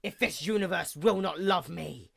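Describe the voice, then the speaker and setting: A woman voicing a bitter, envious dark goddess pony in a grandiose, brooding dramatic monologue. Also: fairly low pitch, moderate pace, vocal fry. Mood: menacing, defiant. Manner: grandiose, brooding, theatrical, formal.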